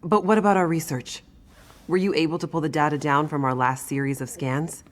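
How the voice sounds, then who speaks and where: A woman's voice: low voice, fairly even intonation, brisk, slight background noise, natural voice. A woman playing a weary, earnest young woman scientist in a video game cutscene, hesitant in her phrasing. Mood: probing. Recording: music behind the voice.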